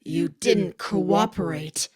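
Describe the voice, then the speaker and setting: A woman voicing a cold evil spirit that has taken over a teenage girl, speaking in a low, husky, raspy voice. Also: darkish tone, dry recording, studio quality. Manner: slow, cold and threatening.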